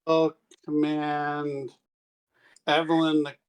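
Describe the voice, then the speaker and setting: Slow-talking man at a game table. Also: a little high, completely flat delivery, clean tone. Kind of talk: casual game chat.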